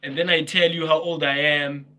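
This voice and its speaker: A man's voice: on the high side, little pitch movement, moderate pace, pushed and bright. A man in his twenties chatting casually with viewers on a livestream, teasing them, laughing often and repeating himself. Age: 20s. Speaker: livestream host.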